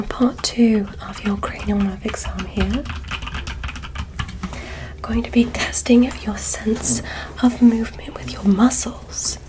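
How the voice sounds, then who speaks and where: A woman doing an ASMR roleplay as an examiner, whispering breathily with gentle, careful, encouraging instructions. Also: medium pitch, poor audio. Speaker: ASMR performer role-playing an alien medical examiner.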